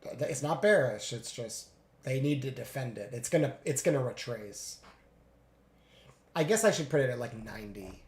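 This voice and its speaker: A man getting over a cold, a little congested, narrating his live trades in a relaxed way with many fillers. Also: mid-range voice, normal speed, reverberant. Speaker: futures day trader streaming live. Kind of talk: live trading commentary.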